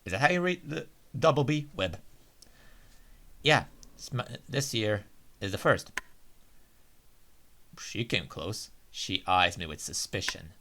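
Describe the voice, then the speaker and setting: A man's voice: fairly low pitch, animated, takes it slowly, long pauses, pushed and bright, a little hiss or hum. A man hosting a let's play, chatting casually and reading the game's text aloud. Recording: music behind the voice. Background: game's guitar music.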